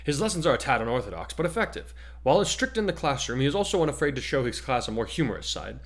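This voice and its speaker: A man's voice: medium pitch, very animated, racing, voice pushed up. An earnest teenage boy training as a hero, played by a man in a dramatic story reading. He speaks formally and firmly, with careful courtesy.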